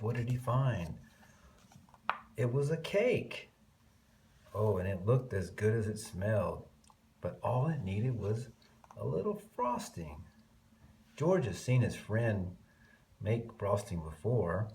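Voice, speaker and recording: A man reading a picture book aloud to young children in an expressive way, stretching key words and adding chatty, murmured asides. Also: on the low side, takes it slowly, pauses often, a little hiss or hum.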